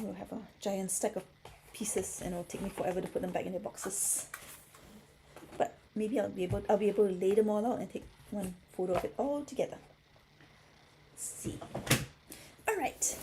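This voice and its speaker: A woman's voice: mid-range voice, warm tone, a little hiss or hum, low-quality recording. A middle-aged woman from Singapore hosting a puzzle livestream, chatting casually and answering comments from viewers.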